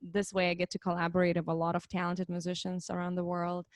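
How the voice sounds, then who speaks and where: A woman's voice: on the low side, completely flat delivery, fast, dry recording. Woman guest, 30s, thoughtful and hesitant, dry video-call audio. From Lithuania. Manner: thoughtful, hesitant, many fillers. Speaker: musician guest. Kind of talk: reflective interview answers. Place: home, online interview.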